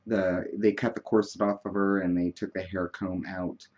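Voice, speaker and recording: Slow-talking man rambling through a makeup tutorial. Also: lowish voice, darkish tone, lo-fi sound. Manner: chatty, wandering, self-deprecating. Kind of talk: casual rambling tutorial chat.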